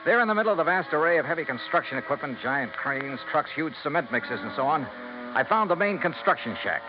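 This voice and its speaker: Actor as dogged, animated 1950s radio detective. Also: male voice, on the high side, quick pace, noisy background, natural voice. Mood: measured, intent. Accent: American. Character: male insurance investigator, dogged, wry, narrating his case. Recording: muffled vintage radio recording, music behind.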